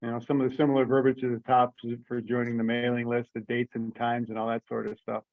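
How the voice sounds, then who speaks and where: A man's voice: mid-range voice, monotone, fast talker, creaky, no echo. Hesitant man, dull lo-fi call audio. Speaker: event organizer presenting rules. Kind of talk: casual meeting discussion. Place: online teleconference call.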